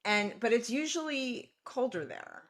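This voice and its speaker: A woman's voice: a little high, a little slow. Chatty woman host. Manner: chatty, relaxed, uses "like" often. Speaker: podcast host. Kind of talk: casual conversation.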